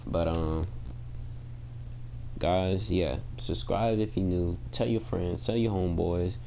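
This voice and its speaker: A tired young man with a gravelly voice, chatting casually to his viewers in laid-back slang while commenting on his gameplay. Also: deep voice, fairly even intonation, takes it slowly, mellow, slight background noise. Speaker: gaming channel host. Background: game music.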